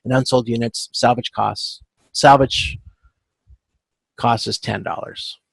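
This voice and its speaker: A man giving an informal online lecture as an instructor, talking in a halting, self-correcting way and often checking in with the listener. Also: medium pitch, fairly even intonation, creaky, husky, dry recording, rough recording. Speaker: instructor teaching supply chain management. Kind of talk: informal lecture walking through spreadsheet calculations. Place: online video conference with screen share.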